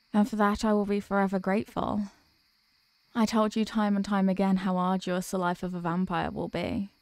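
Woman playing a tender vampire girlfriend, soft and mellow. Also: mid-range voice, no room sound, natural voice. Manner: soft, slow, formal, caressing delivery. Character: tender, devoted centuries-old vampire girlfriend, soothing and protective. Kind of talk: intimate ASMR roleplay. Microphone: close microphone.

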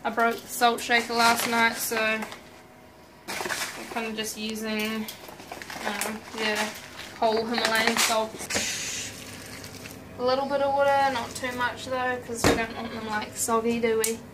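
A woman's voice: on the high side, flat delivery, unhurried, long pauses. A young woman in her twenties hosting a casual home cooking vlog, chatty and playful with self-mocking asides, filmed up close in a small kitchen at night. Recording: noisy home kitchen recording. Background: loud clatter of pots, cutlery and dishes.

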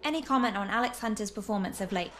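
A woman playing a brisk, professional post-match sports interviewer in a video game. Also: medium pitch, a bit bright, lightly acted. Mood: businesslike. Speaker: sports reporter. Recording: clear background ambience noise.

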